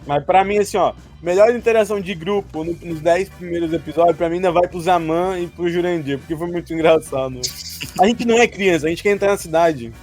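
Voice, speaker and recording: High-voiced Brazilian man, jokey podcast chat. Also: a little fast, a little mellow, faint music behind. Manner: jokey, laughs often. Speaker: podcast co-host. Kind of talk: casual chat looking back on a role-playing campaign.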